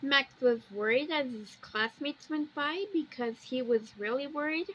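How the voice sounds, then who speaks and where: A woman giving unscripted, halting commentary on pictures, rambling a little and repeating phrases. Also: high-pitched, fairly bright tone. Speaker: video host. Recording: dull lo-fi recording with background noise.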